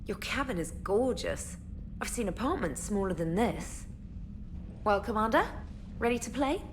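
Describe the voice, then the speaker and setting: Young female voice, acted, bookish starship specialist, lively swooping intonation, witty, teasing, articulate, video game, noisy ambience. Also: mid-range voice, moderate pace, natural voice. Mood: friendly, teasing. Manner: articulate, wry. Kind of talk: dramatic video game acting. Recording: background noise and ambience.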